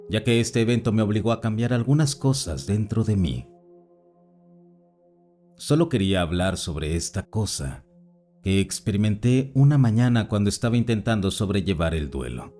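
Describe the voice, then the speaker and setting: A man calmly narrating written personal accounts as a scripted story reading. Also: lowish voice. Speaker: narrator reading listener stories. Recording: voice-over with faint background music.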